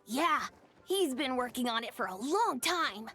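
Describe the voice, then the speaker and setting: A woman voicing a young boy dragon in a video game, shy, gentle and earnest, with a soft, hesitant, sincere delivery. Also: sits high, lively intonation, unhurried, faint music behind.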